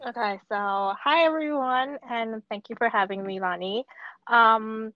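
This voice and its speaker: A woman's voice: fairly high pitch, a little slow, dry recording. Chatty woman guest on a lo-fi call. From Hong Kong. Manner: chatty, many fillers and restarts. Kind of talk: casual remote interview.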